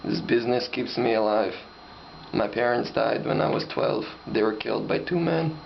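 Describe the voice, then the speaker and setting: A man's voice: medium pitch, normal speed, creaky, natural voice. A man acting a cold, matter-of-fact human trafficker in a student mock interview, unemotional and stilted. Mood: cold, detached. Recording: dull, lightly noisy lo-fi recording.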